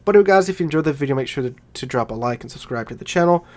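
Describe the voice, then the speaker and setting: A man's voice: fairly high pitch, lively intonation, very fast. A man with a Southern American accent, congested from a cold, giving enthusiastic casual commentary on a gaming video. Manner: enthusiastic, conversational. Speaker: gaming YouTuber.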